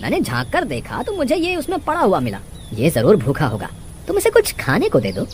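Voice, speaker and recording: A woman voicing an earnest, firm male village farmer in an animated storybook tale. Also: lowish voice, fairly quick, a little hiss or hum, voice pushed down. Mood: pleased, kindly. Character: kindly but firm village farmer, a man.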